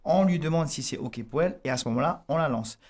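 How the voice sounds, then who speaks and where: A man's voice: on the high side, lively intonation, quick pace, darkish tone. Tahitian-accented man explaining eagerly. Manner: eager, explanatory, frequent restarts and fillers. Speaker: fitness coach guest. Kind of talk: radio interview, explaining his method. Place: radio studio.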